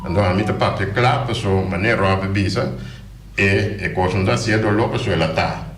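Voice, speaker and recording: A man's voice: sits low, a little fast, echoey room. A man speaking as a politician guest on a radio show, passionate and emphatic, often repeating his words. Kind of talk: radio interview, casual talk. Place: radio studio.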